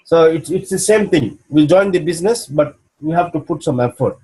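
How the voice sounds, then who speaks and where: A man with a somewhat raised voice walks a listener through steps on a video call. He is patient and steady, tagging each point with a quick "okay?" to make sure he is being followed. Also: fairly high pitch. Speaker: business mentor. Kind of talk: video call coaching session.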